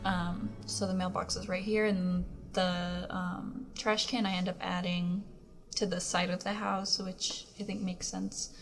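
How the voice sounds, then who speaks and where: A hoarse young woman giving a chatty, rambling voice-over while she talks through her build. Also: fairly low pitch, level tone, unhurried. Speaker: Sims speed-build YouTuber. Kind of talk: casual voice-over commentary. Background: background music.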